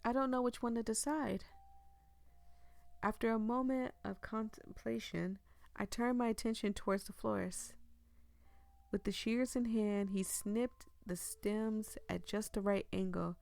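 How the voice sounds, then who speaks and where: A hoarse woman reading a story game aloud in a chatty, giggly way, with comments between the lines. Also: a little high, fairly even intonation, a little slow, a little mellow, no echo. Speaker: game streamer.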